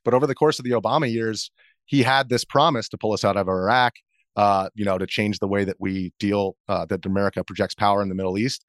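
A man's voice: on the low side, steady tone, quick pace, dry recording, studio quality. A man in his thirties speaking as an interview guest, answering casually in a hesitant, rambling way. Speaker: interview guest, video journalist.

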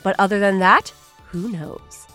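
A woman's voice: mid-range voice, unhurried, pushed and bright, faint music behind. A woman podcast host reading a scripted promo in a playful, theatrical manner.

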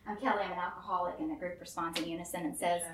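A woman's voice: fairly low pitch, steady tone, very fast, a loud crowd. Woman, thirties, giving a testimony to a live audience, nervous and earnest, recorded from a distance in a roomy space. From Memphis, Tennessee, US. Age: 30s. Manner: nervous, earnest, laughs often. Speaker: guest storyteller. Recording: roomy and distant with faint room noise.

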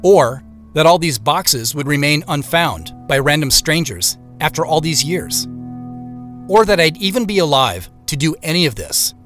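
A man playing a reflective, determined amateur investigator, narrating an audio drama in the first person in a deliberate, questioning manner. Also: a little high, big pitch swings, a bit bright, soft background music. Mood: pondering. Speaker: lead actor and narrator.

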